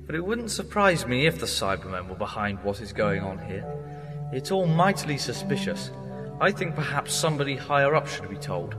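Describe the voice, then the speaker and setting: A man's voice: mid-range voice, very animated, normal speed, lightly acted. An actor playing an eccentric male time traveller who talks in a jaunty, wry, theatrical way. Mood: breezy. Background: music.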